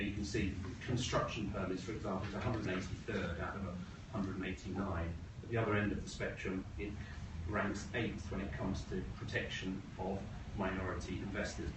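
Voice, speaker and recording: A middle-aged British man giving an informal business presentation in a measured way with wry asides. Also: low-pitched. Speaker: presenter, agency co-founder. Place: room at a talk event. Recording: roomy, noisy, distant mic.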